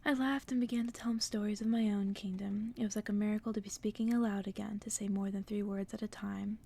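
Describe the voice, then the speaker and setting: Whispering woman reading a bedtime story, slightly creaky. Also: medium pitch, flat delivery, slight background noise. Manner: soft, breathy, unhurried whispering. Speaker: ASMR storyteller.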